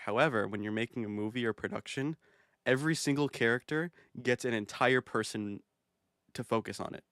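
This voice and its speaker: A man's voice: mid-range voice, a bit bright, no echo, studio quality. A man casually commenting on his own music video, rambling and self-amused with plenty of fillers. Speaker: video creator.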